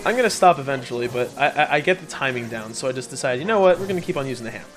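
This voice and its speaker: A man's voice: medium pitch, lively intonation, very fast. A man hosting a let's play with casual, rambling, jokey and self-deprecating commentary over game music. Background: video game music.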